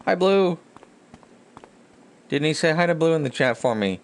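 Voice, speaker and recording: A man's voice: on the high side, animated, fast talker. A man chatting casually with viewers and thinking aloud in rambling fashion as he streams a video game. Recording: clear background noise.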